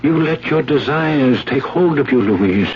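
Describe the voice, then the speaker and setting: A muffled vintage radio recording of an actor as a compassionate priest. The man speaks slowly and gravely, with earnest, solemn concern, in seventies radio drama style. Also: medium pitch, animated, slight background noise, close to an everyday voice. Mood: pitying, earnest. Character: grave, compassionate priest counselling a troubled woman. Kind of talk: 1970s radio drama acting. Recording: dull vintage radio broadcast.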